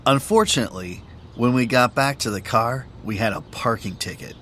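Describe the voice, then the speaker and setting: A man who announces the tracks and reads the lines of a course dialogue in a slow, careful manner. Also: medium pitch, a bit bright. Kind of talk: scripted textbook audio reading.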